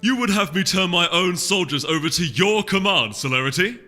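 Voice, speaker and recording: A man's voice: sits high, lively intonation, moderate pace, sharp tone, faint music behind, pitched above the performer's own voice. A man voicing a haughty, disdainful unicorn duke in a storybook reading, with a pompous, speechifying manner. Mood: indignant. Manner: pompous, oratorical.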